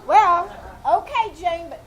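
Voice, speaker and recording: A woman's voice: very high-pitched, takes it slowly, dull audio, slight background noise. A woman playing a stubborn, blunt housemaid in a 1940s radio comedy, bossy and obstinate, performed live on stage.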